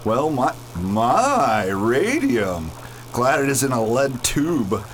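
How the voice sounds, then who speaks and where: A man's voice: medium pitch, very animated, slow, a little hiss or hum. A man acting a brooding, greedy villain in a space radio play, with a disdainful manner. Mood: calculating. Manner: disdainful, muttering to himself. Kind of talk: amateur radio theater acting.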